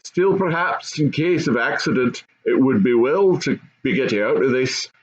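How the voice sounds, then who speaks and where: A man voicing a gruff, practical goblin father, proud of his kind, with a rough edge. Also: mid-range voice, expressive, moderate pace, muffled recording, close to an everyday voice. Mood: practical, bossy.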